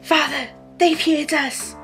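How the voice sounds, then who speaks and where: Woman playing a high-voiced, frightened young daughter. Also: fairly even intonation, takes it slowly, a bit bright, soft background music. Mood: hushed, alarmed. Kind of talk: period radio play acting.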